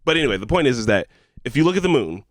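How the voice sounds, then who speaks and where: A man's voice: medium pitch, big pitch swings, rapid-fire, no echo, clean studio sound. A man co-hosting a podcast, explaining things emphatically in a casual, sweary group chat. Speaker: podcast co-host.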